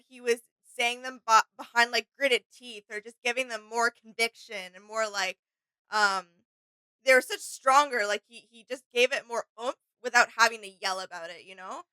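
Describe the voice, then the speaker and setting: A woman's voice: fairly high pitch, normal speed, pushed and bright, smooth, no room sound, rough recording. A woman chatting casually and agreeably as co-host of a fan reaction.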